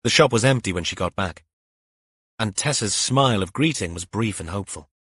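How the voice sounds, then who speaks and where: A man's voice: on the low side, lively intonation, fairly quick, a bit bright, breathy edge, dry recording, studio quality. A man reading a mystery novel as scripted audiobook narration.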